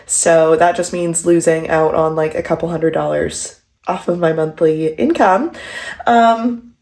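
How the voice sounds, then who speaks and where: Casual young female vlogger, congested and fry-heavy, low-pitched, rambling with "like" and "um". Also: a little slow, darkish tone. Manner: chatty, rambling, frequent "like" and "um". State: congested, recovering from a cold. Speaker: vlogger and pilates instructor. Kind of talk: casual vlog talk to camera. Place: at home.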